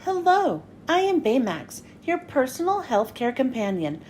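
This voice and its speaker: Lady doing a caring helper robot from a kids book, formal and polite, high voice. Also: very animated, unhurried, darkish tone, a little hiss or hum. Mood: helpful, courteous. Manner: formal, helpful, precise.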